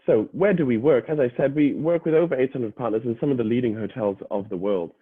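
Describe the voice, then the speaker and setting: A man's voice: medium pitch, fast, slightly rough, no echo. A young adult man, half British, giving an explanatory online presentation to prospective students, with frequent filler uhs and restarts. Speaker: university admissions representative. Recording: dry, phone-like lo-fi audio.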